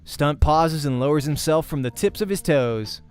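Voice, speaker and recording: A man's voice: fairly high pitch, animated, normal speed. A man hosting a let's play, reading visual novel narration aloud expressively like a voice actor. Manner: acts out dialogue while narrating. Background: game music.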